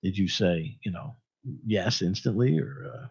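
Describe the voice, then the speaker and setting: A man's voice: low voice, unhurried, breathy edge. A man hosting a small radio show, joking around and rambling with lots of fillers. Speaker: radio host. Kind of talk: casual interview chat. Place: small radio studio in a church. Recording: slightly dull lo-fi radio sound.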